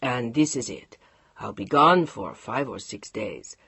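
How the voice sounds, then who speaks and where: A woman voicing a controlling husband who speaks quietly and firmly. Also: expressive, a little slow, creaky, husky, no echo, full character voice. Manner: low, firm, unbending.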